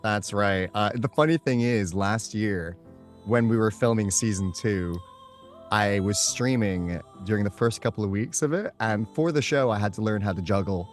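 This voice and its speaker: A man's voice: on the low side, moderate pace, soft background music. A man chatting casually as an interview guest, effusive and enthusiastic in how he talks. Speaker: guest, voice and screen actor. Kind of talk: casual chat and anecdote.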